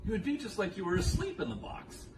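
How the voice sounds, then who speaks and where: Absurd philosophical rambler, highish voice leaping wildly, comic stage delivery, heard distantly through room noise. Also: male voice, huge pitch swings. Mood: puzzled musing. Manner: musing, halting, self-correcting, abrupt swoops in emphasis. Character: rambling, absurdly philosophical man musing on death. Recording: echoey room, distant mic, noisy background.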